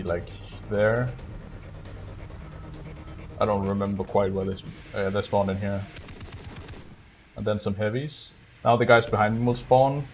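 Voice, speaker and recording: A man giving casual live commentary on a game as a streamer, explaining things in a low-key way. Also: slight background noise. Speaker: streamer speedrunner. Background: game music.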